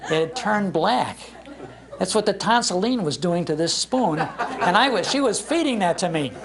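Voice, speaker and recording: A man's voice: sits high, lively intonation, moderate pace, a crowd in the background, background noise. An older American man telling humorous, nostalgic stories to a live audience in a folksy, emphatic way. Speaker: speaker giving a talk on old-time radio. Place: public library talk before an audience.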